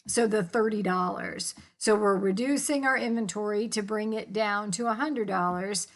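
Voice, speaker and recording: A woman's voice: mid-range voice, moderate pace. An American woman giving an informal, explanatory lecture as an instructor, in colloquial language with casual check-ins. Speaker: accounting instructor.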